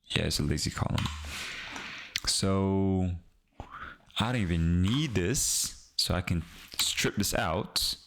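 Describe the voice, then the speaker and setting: Caribbean guy, creole his first language, lowish voice, rambles and pauses a lot while coding live. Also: vocal fry, noisy background. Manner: rambling, pauses and restarts, talks to chat. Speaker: streaming developer. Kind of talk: casual live-stream coding chat.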